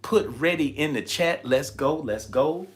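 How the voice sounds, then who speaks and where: A man hosting a motivational livestream, speaking emphatically and repeating phrases, his voice slightly raspy and tired. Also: fairly high pitch, expressive, a little slow. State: slightly raspy, tired voice from shouting the night before. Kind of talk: motivational livestream talk, preaching-like.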